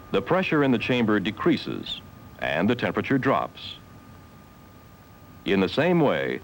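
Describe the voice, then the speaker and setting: Low-voiced man narrating an educational film. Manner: measured, formal, instructional. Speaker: documentary narrator. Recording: 1970s film soundtrack with faint hiss.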